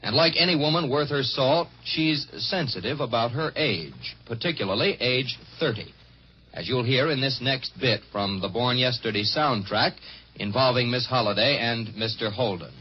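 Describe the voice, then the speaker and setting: A man's voice: medium pitch, normal speed, slightly rough. A man reading a scripted film review for 1950s radio in a dry, wry way. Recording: muffled 1950s radio recording.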